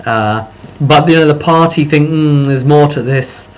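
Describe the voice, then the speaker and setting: A man giving a casual, rambling recap as a game master, halting and circling back over his phrases. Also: a little high. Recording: muffled lo-fi audio with background noise.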